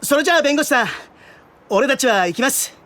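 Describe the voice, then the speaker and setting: Woman acting eager boy, very high, lively. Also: fairly quick, slight background noise. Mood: surprised. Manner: boyish, earnest, eager. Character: sincere, spirited baseball-loving boy, a protective older brother. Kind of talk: Japanese anime-style audio drama acting.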